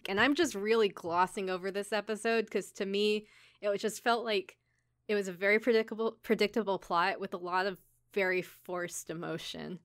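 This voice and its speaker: A woman's voice: medium pitch, a bit bright, smooth, no echo, clean studio sound. A woman podcast host giving a casual, chatty recap, informally acting out bits of dialogue with wry commentary. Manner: informal, acts out characters' lines in passing.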